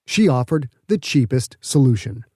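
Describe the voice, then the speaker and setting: A man narrates an audiobook story in a mellow, relaxed voice at a middle pitch. The sound is dry, and he delivers the prose as scripted fiction. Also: normal speed, husky, no room sound.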